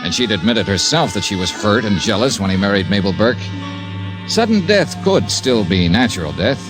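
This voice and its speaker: Deep-voiced, lively radio detective. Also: male voice, moderate pace, music in the background, low-quality recording. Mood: narrating crisply. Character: cool, wry freelance insurance investigator. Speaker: lead actor in radio drama. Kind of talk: old-time radio detective acting, narration. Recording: vintage radio broadcast recording.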